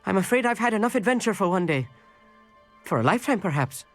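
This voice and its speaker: Casting: timid teenage wizard in a video game, scholarly and courteous, hesitant, music underneath. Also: male voice, high-pitched, very animated, quick pace, a bit bright, heavily acted. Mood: shaken, earnest. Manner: earnest, deferential, hesitant. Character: bookish, timid, polite teenage boy student wizard.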